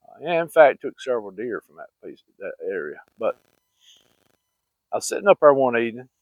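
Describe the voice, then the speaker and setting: A man's voice: mid-range voice, big pitch swings, brisk, no room sound. Folksy man spinning a yarn. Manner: rambling, folksy, many fillers and restarts. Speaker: channel host telling hunting stories. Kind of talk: casual storytelling. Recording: dry, phone-like sound.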